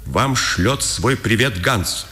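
An actor playing a formal, insinuating head waiter who is a secret agent, in a 1950s radio drama. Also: male voice, mid-range voice, animated, pushed and bright. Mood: quietly threatening. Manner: formal, measured, veiled menace.

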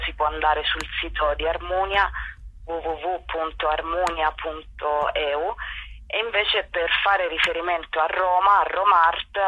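A woman's voice: sits low, slightly rough. A woman giving a radio interview as a guest over the telephone, hesitant and searching for words. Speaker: theatre performer interviewed as guest. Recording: dull lo-fi telephone line.

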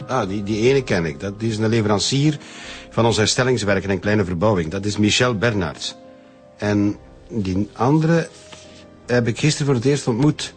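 An actor playing a defensive, crude, easily rattled Flemish department director in a radio drama. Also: male voice, on the low side, normal speed, close to an everyday voice. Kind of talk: naturalistic radio drama acting. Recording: music under the dialogue.

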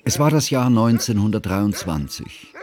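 A man's voice: on the low side, big pitch swings, moderate pace, over loud music. An actor playing a learned, scrupulous man narrating his friend's life in a literary radio drama, precise, ornate and reflective.

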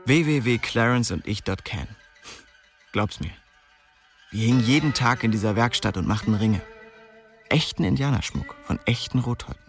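A man's voice: mid-range voice, moderate pace, pauses often, lightly acted. An actor playing a cocky, restless young man who narrates his story in a slangy, streetwise radio drama style. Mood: cool, boastful. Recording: music behind the voice.

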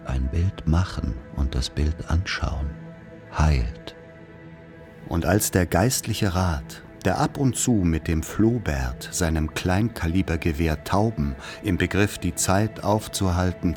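Radio drama narrator: wry man recalling boyhood, very deep, unhurried, measured and solemn. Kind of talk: literary radio play narration.

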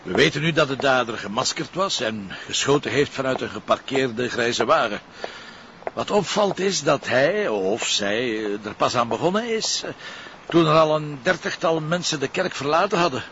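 A man playing a weary, sardonic police commissioner with a Flemish accent, gruff and informal in a naturalistic crime drama. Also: mid-range voice, animated, normal speed, close to an everyday voice. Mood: businesslike. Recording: dull, noisy location sound from television.

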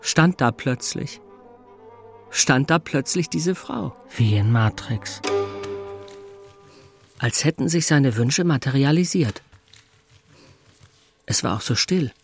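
An actor playing a sober, geeky systems consultant stunned by love in a radio drama, speaking in a wry, halting way. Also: male voice, medium pitch, animated, faint music behind. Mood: stunned, awed.

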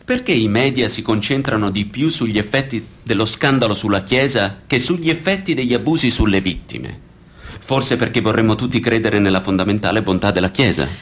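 A man's voice: lowish voice, fairly quick. A man reading scripted narration for a documentary radio theatre piece.

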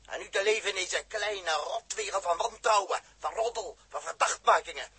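A raspy, bitter, defiant spaceman. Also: sits high, moderate pace, sharp tone, dry recording, exaggerated voice. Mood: bitter, scornful. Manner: biting, scornful, theatrical delivery. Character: bitter, defiant, hot-headed male space crewman. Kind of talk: 1960s radio drama acting. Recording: dry old 1960s radio recording.

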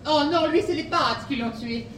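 Female fanatic killer, comic podcast fiction. High, pompous, theatrical, defiant; slightly distant from the mic. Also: moderate pace, a bit bright. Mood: flippant self-justifying. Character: grandiose deranged female killer, fanatical devotee of pasta. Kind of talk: comic audio drama acting. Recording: roomy, off-mic, noisy action-scene sound.

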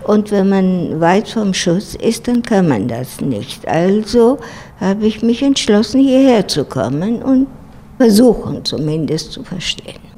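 A woman's voice: medium pitch, big pitch swings, a little slow, mellow. A woman in her eighties from Turkey, answering interview questions and sometimes searching for words. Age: 80s. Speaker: interviewee, home resident.

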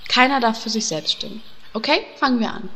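A woman playing a bossy, vain, coquettish guild leader in a comic audio drama, brisk and commanding. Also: fairly high pitch, sharp tone. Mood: organising.

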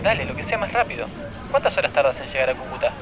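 Actor as an ardent, fast-talking male lover. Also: mid-range voice, animated, crowd noise, noisy background, natural voice. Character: ardent, impatient long-distance lover, a man. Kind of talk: radio drama acting.

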